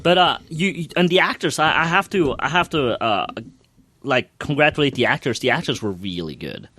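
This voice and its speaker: A man's voice: fairly high pitch, expressive, a little fast, sharp tone, no echo. A man chatting casually as a podcast co-host, enthusiastically recounting an experience in a rambling way, often repeating his words.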